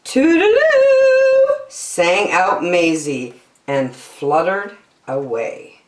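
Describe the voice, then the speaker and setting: A woman's voice: deep voice, expressive, slow, reverberant, slight background noise. A woman storyteller reading a children's picture book expressively.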